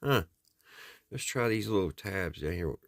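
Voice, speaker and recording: American guy with a low voice, folksy plain talk like a repair vlog, thinking out loud, pretty dry sound. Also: lively intonation, measured pace, no echo. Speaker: host of a radio repair video.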